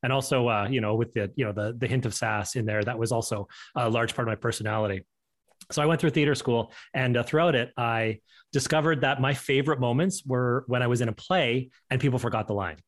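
A man's voice: mid-range voice, fairly quick. A man in his thirties from Alberta, Canada, a guest on a video call, telling stories in a loose, laughing way full of fillers. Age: 30s. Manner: animated, laughing storyteller with many fillers. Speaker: guest, improviser and podcast host. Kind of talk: casual chat on a video call.

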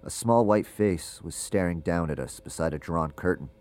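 A man's voice: low voice, normal speed, a little mellow, close to an everyday voice. An actor playing a ghost hunter who narrates his investigation in a scripted first-person audio drama. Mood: measured, observant.

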